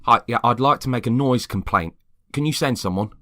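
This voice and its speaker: A man's voice: mid-range voice, fairly quick, pushed and bright, dry recording, clean studio sound, voice pushed up, natural voice. A man playing an exhausted, irate neighbour at breaking point in a dramatised story reading, complaining emphatically. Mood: fed up.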